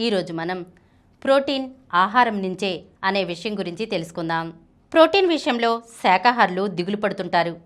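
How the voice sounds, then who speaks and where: A woman's voice: medium pitch, expressive, fairly quick. A woman presents a health explainer, reading scripted narration aloud. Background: music.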